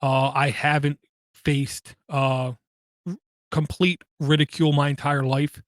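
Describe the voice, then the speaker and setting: A man hosting a podcast, talking through personal matters in a halting way, with many pauses and fillers and an occasional stutter. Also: on the high side, steady tone, no room sound, clean studio sound. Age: adult, has kids. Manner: halting, frequent ums and uhs, slight stutter. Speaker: podcast host. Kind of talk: personal monologue.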